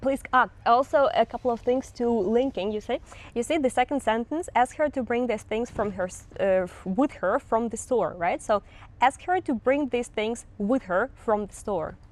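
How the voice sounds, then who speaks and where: A woman's voice: fairly high pitch, moderate pace. A woman with a Russian accent, a native Russian speaker, patiently coaching a student on English pronunciation and modeling sounds for him. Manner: patient, explains with examples. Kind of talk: teaching pronunciation.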